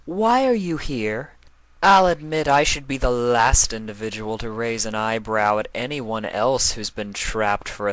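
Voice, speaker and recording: A man voicing a stern, haughty female dark alicorn villain in a storybook reading, with a dry, sardonic manner. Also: lowish voice, dry recording, voice pushed up, rough recording. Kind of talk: fan fiction character reading.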